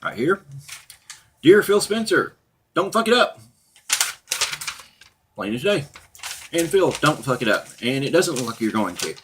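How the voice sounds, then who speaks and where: A middle-aged man with a husky voice, talking casually and off the cuff as a blunt, profane, opinionated gaming channel host. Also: medium pitch, very animated, a little slow, long pauses, background noise. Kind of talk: casual unscripted rant and commentary to viewers.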